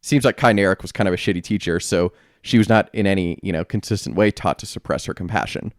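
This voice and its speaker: A man co-hosting a casual podcast discussion, reasoning aloud in a measured, conversational way. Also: deep voice, no room sound, clean studio sound. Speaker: podcast co-host.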